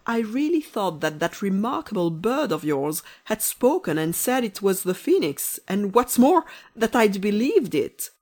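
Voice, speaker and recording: A woman voicing a polite, fussy, easily astonished office gentleman in a storybook reading. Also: mid-range voice, sing-song, a little slow, few pauses. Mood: flustered. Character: nice, flustered, courteous gentleman office official.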